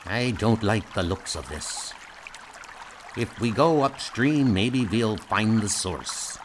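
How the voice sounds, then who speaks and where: A man's voice: fairly low pitch, lively intonation, slow pace, noisy background. A man voicing a wary, resigned starship commander in a comic audio drama, blunt and sober.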